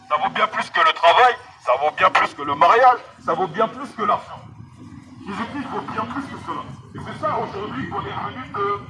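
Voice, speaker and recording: High-pitched male street preacher on a megaphone. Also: sharp tone. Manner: exhortatory, emphatic, repetitive, addressing passers-by directly. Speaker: street evangelist. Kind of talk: fervent street sermon through a megaphone. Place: outdoors on a street. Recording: noisy outdoor street recording with vehicles.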